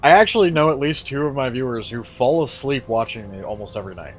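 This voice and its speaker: Calm, lively-pitched male gamer. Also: quick pace, soft background music. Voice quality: calm lecturer-like voice. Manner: laid-back, musing, swears casually. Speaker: gaming channel host. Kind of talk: casual gameplay commentary.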